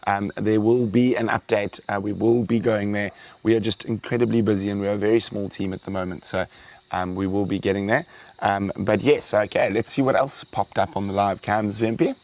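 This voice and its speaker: A man's voice: on the low side, a little fast. A young man hosting a live wildlife stream, chatting casually and saying he is losing his voice. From South Africa. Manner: chatty, reads out viewer thanks, breaks into excited exclamations. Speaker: wildlife show host.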